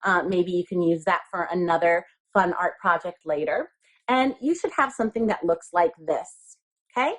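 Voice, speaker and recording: A woman's voice: fairly low pitch, moderate pace, poor audio. A woman teacher giving step-by-step craft instructions to young children, speaking simply and encouragingly with repeated reminders. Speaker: elementary school teacher.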